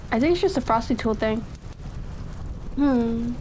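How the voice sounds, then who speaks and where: A woman hosting a livestream, chatting casually and musing aloud to herself in an unhurried way. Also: on the high side, lively intonation, a little mellow, slight background noise. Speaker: streamer and host of a reading session.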